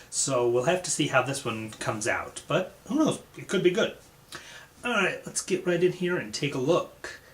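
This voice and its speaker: A man's voice: mid-range voice, a bit bright, a little hiss or hum. A man hosting a reaction video, giving casual, conversational commentary.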